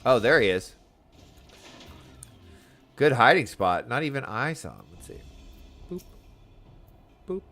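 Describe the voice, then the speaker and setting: A man's voice: medium pitch, very animated, slow pace, long pauses, a bit bright, soft background music. A man doing casual livestream game commentary, chatty and playful with sing-song asides. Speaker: game streamer.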